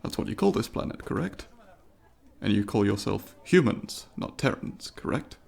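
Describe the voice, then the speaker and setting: Guy doing a nerdy polite alien student for asmr roleplay, lowish husky voice, formal and curious, apologetic. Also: sing-song, moderate pace, a little mellow, exaggerated voice. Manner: formal, precise, inquisitive and apologetic. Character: polite, eager alien student studying humans. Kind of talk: ASMR roleplay, addressing the listener.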